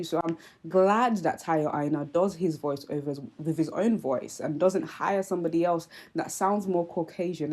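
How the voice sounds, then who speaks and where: A woman's voice: on the low side, a little mellow. A woman in her twenties with a mixed Nigerian and British accent, speaking earnestly and candidly to camera in an opinion vlog, with frequent fillers and restarts. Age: 20s. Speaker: YouTuber.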